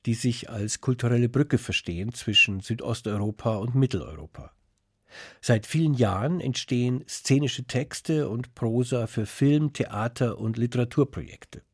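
A man with a husky voice presenting and interviewing for a cultural radio programme. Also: mid-range voice, darkish tone.

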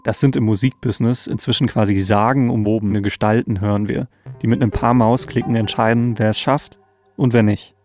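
A man's voice: fairly low pitch, fairly even intonation, a little fast, darkish tone. Man co-hosting a podcast, music underneath. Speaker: podcast co-host and narrator. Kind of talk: scripted narrative podcast narration, conversational.

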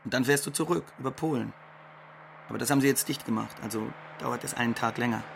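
Radio play role: wry, defiant migrant man voiced by an actor, fairly high creaky voice, conversational monologue. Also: fast talker, background noise, lightly acted. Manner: blunt, sardonic. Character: wry, defiant undocumented Ukrainian migrant worker.

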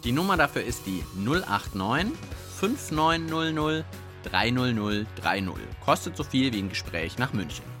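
A man co-hosts a children's radio show. He talks casually and playfully, with highly animated pitch movement. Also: normal speed, faint music behind.

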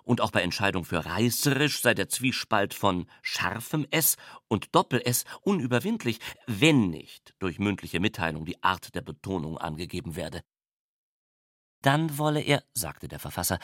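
A man's voice: fairly low pitch, big pitch swings, normal speed, a bit bright, no echo, studio quality. A man narrating a literary story, precisely carrying long, flowing sentences. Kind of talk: literary reading, scripted narration.

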